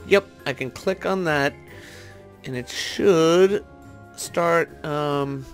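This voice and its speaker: A man's voice: on the high side, a bit bright. A man giving casual gameplay commentary, thinking aloud and tentatively talking through each step as he goes. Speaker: gaming video host.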